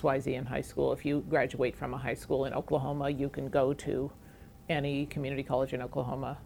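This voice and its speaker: A woman educator speaking earnestly and in a measured way in an interview about higher education. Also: creaky, dark tone, a little hiss or hum. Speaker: educator guest. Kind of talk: conversational interview.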